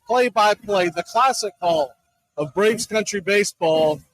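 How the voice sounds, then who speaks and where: A man hosting sports radio, speaking in an emphatic, deliberately phrased announcer's style into a close microphone. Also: extremely high pitch, fairly bright tone, poor audio. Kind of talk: radio promo and commentary.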